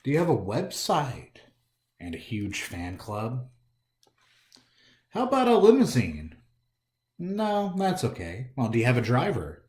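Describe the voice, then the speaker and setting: An American man reads a picture book to young children in a roomy, echoing space. His delivery is theatrical, with pitch that swings widely. He takes his time and speaks straight to his listeners as a storytime host. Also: a little slow, long pauses. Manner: animated, theatrical, talks directly to young listeners. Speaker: library storytime host reading aloud. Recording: roomy, echoey indoor sound.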